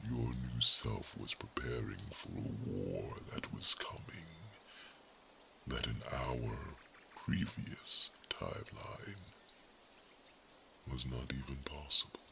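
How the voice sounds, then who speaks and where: A wise male mage who reads minds, as a man acts him out for an ASMR roleplay, whispers in a formal, unhurried way. His voice sits extremely deep and gravelly. It still has a bright, sharp edge, and every word comes at a slow crawl. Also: expressive, full character voice. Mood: tender.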